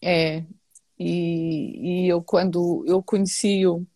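A woman with a European Portuguese accent talks casually as a guest, often circling back and repeating herself mid-sentence. Her voice sits low and sounds warm and mellow. The recording is dry and close. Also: little pitch movement, extremely slow, no room sound. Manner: hesitant, repeats words, many restarts. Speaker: singer and guest. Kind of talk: casual conversation.

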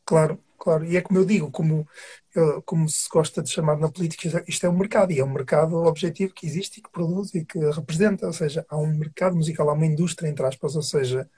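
A host leads a casual conversation, often chiming in with short affirmations. The voice has a husky, slightly breathy grain. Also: mid-range voice, steady tone, quick pace, dark tone. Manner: affirming, brief backchannels.

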